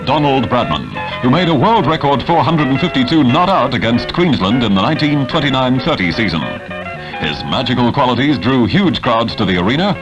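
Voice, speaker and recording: A man's voice: medium pitch, sing-song, normal speed, poor audio. An Australian man narrating a scripted 1970s newsreel in a polished, punchy announcer style, on a vintage film soundtrack. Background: loud music.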